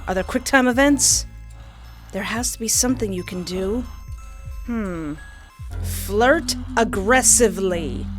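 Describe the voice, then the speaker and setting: A woman doing let's-play commentary on a video game, switching between reading narration aloud and playful, exclaiming reactions. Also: on the high side. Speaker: video game streamer playing a dating simulator. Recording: music behind the voice. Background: game music.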